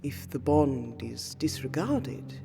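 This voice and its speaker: A woman playing an aristocratic vampire scholar in a fantasy audio roleplay, speaking formally and deliberately with weighty pauses. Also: rumbling low, sing-song, gravelly, heavily acted. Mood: solemn, formal. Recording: long hall-like reverb under prominent music.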